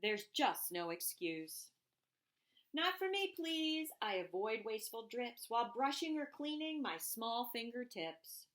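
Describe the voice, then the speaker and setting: A woman reading a rhyming children's picture book aloud, expressively and deliberately, with emphasised phrases. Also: a little high, very animated, fairly bright tone.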